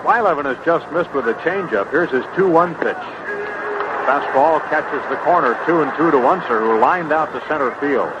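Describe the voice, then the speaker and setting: An American man calling baseball play-by-play in a conversational, unhurried way from a broadcast booth. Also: a little high, expressive, over loud music. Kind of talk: live sports commentary. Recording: muffled, noisy vintage baseball broadcast.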